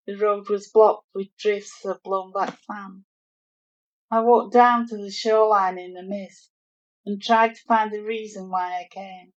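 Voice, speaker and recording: Woman reading poetry, super slow and careful, kinda muffled lo-fi sound. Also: mid-range voice. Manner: measured, deliberate. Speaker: poetry reader. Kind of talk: poetry recitation. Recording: dull lo-fi home recording.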